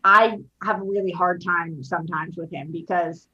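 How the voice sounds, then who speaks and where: A woman from Boston in casual conversation as a co-host, talking loudly and passionately and swearing freely. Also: on the low side, fairly even intonation, moderate pace, slightly rough, dull audio. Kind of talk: casual chat.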